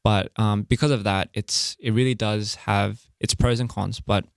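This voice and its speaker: A young man from Australia speaking in a laid-back, halting way, often pausing to search for words, as a musician guest in a casual radio interview. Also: lowish voice, fairly even intonation, creaky, no echo, studio quality. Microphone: studio microphone.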